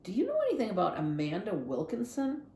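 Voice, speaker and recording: Storybook young girl, played by a woman: curious, stubborn, expressive, very animated intonation, roomy sound. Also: medium pitch, quick pace. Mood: questioning, insistent. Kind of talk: acted children's novel read-aloud.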